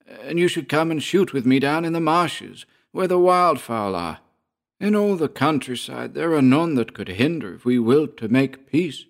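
A man voicing an injured, proud landowner softening toward his old enemy, speaking in a strained, halting way with a hoarse edge. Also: on the high side, lively intonation, voice pushed up. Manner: slow, jerky, strained delivery.

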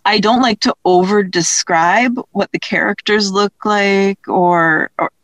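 A woman's voice: medium pitch, a little slow, fairly bright tone, no room sound. A woman interviewed as a writer, chatting informally and tentatively as she thinks aloud. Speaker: audio drama writer, interview guest. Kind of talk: casual interview chat.

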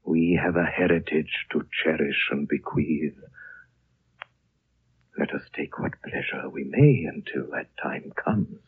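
Old wise lama, solemn slow oratory, raspy hushed voice, muffled 30s radio sound. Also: male voice, a bass voice, heavily acted. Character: ancient wise High Lama, gentle, grave, foreboding prophet. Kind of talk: 1930s radio drama acting. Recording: muffled vintage 1930s radio recording.

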